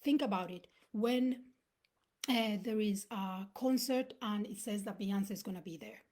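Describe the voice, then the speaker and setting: A woman's voice: mid-range voice, unhurried, darkish tone. Earnest Ugandan-born woman giving a motivational talk. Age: 30s. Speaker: motivational speaker. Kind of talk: motivational talk on a live stream.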